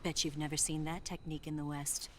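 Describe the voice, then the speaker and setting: A woman's voice: low-pitched, flat delivery, fairly quick, heavy background noise, lightly acted. A woman playing a cool, confident female spy in a video game, speaking in a poised, sly and flirtatious way. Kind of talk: dramatic video game voice acting.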